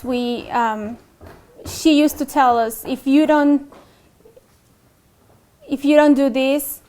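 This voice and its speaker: A woman's voice: fairly high pitch, darkish tone. A young adult woman from Mexico with a Mexican Spanish accent, telling her life story in a documentary interview, hesitant, with many fillers and restarts.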